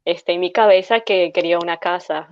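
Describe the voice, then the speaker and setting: A woman's voice: on the low side, brisk, clear, resonant tone, no echo, highs cut off. A woman in her thirties with an Ecuadorian Spanish accent, a guest in an interview who speaks hesitantly and uses many fillers. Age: 30s.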